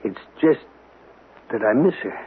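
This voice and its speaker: An actor playing a troubled, irritable middle-aged American widower in a radio drama, speaking in a halting, intense way. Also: male voice, mid-range voice, animated, slightly rough. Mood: grieving, halting. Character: troubled, brooding, irritable wealthy male widower. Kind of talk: 1970s radio drama acting. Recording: tinny, band-limited old radio, faint hiss.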